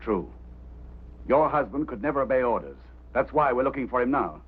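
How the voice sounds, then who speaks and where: A man's voice: medium pitch, very animated, fairly quick, breathy edge. An actor playing a stern, embittered man in charge of a search, firm and authoritative, in a mid-century film drama. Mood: bitter, restrained. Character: stern, embittered male boss of a search operation. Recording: muffled, band-limited old film soundtrack, faint hum.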